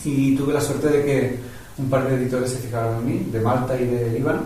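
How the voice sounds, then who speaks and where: A man's voice: medium pitch, fairly even intonation, brisk, warm tone, a little hiss or hum. A man interviewing a guest, chatting casually and affirming with enthusiasm. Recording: distant mic with heavy echo.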